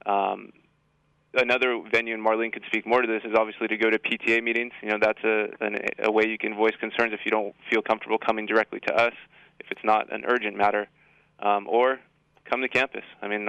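Guy on a radio interview, pretty flat delivery, bright voice with some fry, explaining stuff informally. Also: lowish voice, quick pace, no echo, lo-fi sound. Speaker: high school assistant principal, guest.

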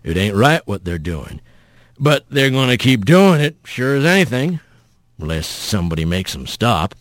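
A man acts out a huge dark spirit creature from an audio story. The voice is very low, soft and rough, with a rustic drawl. It needles like a folksy, mocking father. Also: sing-song, normal speed, no echo, clean studio sound, lightly acted. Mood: folksy, needling.

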